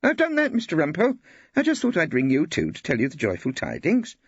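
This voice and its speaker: An audiobook matron, middle-aged and briskly cheerful, acted by a man. The pitch swoops high and chatty with a prim edge, and the recording is slightly dull. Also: wildly swooping pitch, slightly rough, no echo, full character voice. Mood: chirpy.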